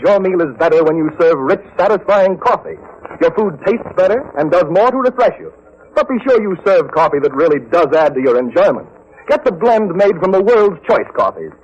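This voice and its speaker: A man delivering a scripted 1930s radio advertisement as a commercial announcer. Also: on the high side. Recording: muffled 1930s radio broadcast.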